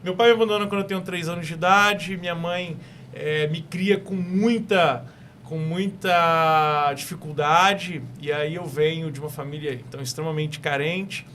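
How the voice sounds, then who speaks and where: A man's voice: on the high side, moderate pace, a bit bright, slight background noise. A young Brazilian man from Espírito Santo with an Espírito Santo accent, a guest on a talk show who speaks eagerly and often repeats or restarts his phrases. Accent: Brazilian Portuguese from Espírito Santo. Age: young adult. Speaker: guest entrepreneur.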